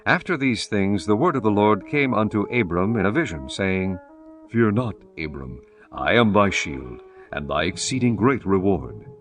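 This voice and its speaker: A man narrates scripture in a low, steady voice with slow, measured solemnity. Clear music plays under his reading.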